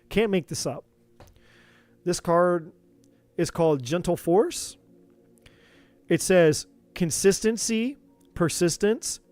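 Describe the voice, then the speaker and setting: A man doing a live tarot reading, talking in an earnest, encouraging way with many repeats, his voice going a bit hoarse. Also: fairly high pitch, darkish tone, no room sound. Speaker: tarot reader.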